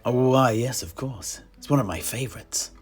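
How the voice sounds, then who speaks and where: A man plays a bookish innkeeper with a historian's bent in a fantasy audio drama. He talks at a middling pitch, politely and at some length, like a gentleman scholar. Soft plucked music runs underneath. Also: moderate pace, close to an everyday voice. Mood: polite interest. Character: courteous, bookish tavern keeper and amateur historian. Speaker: host. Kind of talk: in-character fantasy audio roleplay. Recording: music behind the voice.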